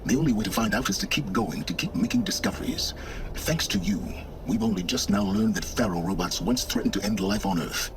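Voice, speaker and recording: An actor playing a condescending, pompous middle-aged male scholar of ancient ruins, with measured, theatrical delivery in a video game. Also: on the low side, lively intonation, noisy background. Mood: urgent, probing.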